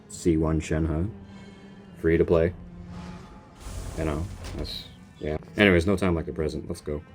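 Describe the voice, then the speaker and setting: A man giving casual, informal reaction commentary while playing a game, expressive and full of muttered asides. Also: a bass voice. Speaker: gaming content creator. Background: faint game music.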